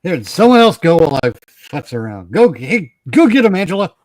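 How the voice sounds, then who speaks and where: A man's voice: on the high side, big pitch swings, moderate pace, a little mellow. A man co-hosting a livestream in a loose, casual chatting style. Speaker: livestream co-host.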